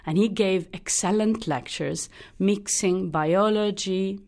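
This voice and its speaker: A middle-aged woman with an Italian accent, a hematologist guest in a radio interview, speaking thoughtfully with many pauses and fillers. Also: mid-range voice, a little mellow. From Italy. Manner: thoughtful, pausing with frequent uh fillers.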